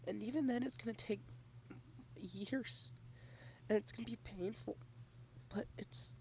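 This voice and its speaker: A woman talks softly and close to the microphone in an ASMR girlfriend roleplay, drifting in and out of half-whispers. She rambles shyly, restarting her sentences, and her voice carries a gravelly fry at a mid pitch. A faint hiss of noise sits underneath. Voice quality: soft, often half-whispered. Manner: shy, rambling and halting, with many repeated starts.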